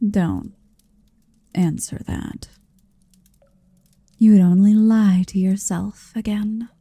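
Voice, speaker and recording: Woman as a slow, breathy, possessive dragon. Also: medium pitch, steady tone, mellow, dry recording. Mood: tender, possessive. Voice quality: breathy, often whispering. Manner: slow, languid, commanding, teasing. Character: possessive centuries-old female dragon in human shape, haughty, doting. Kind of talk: ASMR roleplay, intimate monologue.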